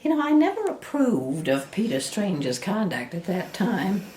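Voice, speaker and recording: An actress playing a snobbish, gossiping high-society lady in a radio drama, drawling with disdain. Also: very animated, unhurried, darkish tone, slight background noise. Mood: smug, gossipy. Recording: echoey room, background ambience.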